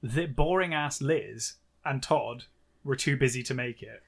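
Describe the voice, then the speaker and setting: A man's voice: medium pitch, measured pace, creaky, slightly rough. A British man co-hosting a podcast, recapping a book in a casual, wry and sarcastic way. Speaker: podcast co-host.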